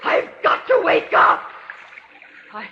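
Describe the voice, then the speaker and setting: An actress playing a guilt-ridden, murderous stepmother trapped in a nightmare, melodramatic and halting, in old-time radio horror style. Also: lightly acted. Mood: terrified, desperate. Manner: melodramatic, halting fragments, from whispers to shrieks. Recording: dull, lo-fi old radio recording with noise.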